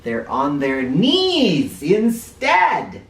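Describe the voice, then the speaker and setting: A man's voice: fairly high pitch, wildly swooping pitch, drawn-out words. A man reading a children's book aloud in a playful, explanatory way, stopping to put questions to young listeners. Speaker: children's book reader and teacher. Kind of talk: animated read-aloud with asides for children. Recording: roomy, distant mic, faint noise.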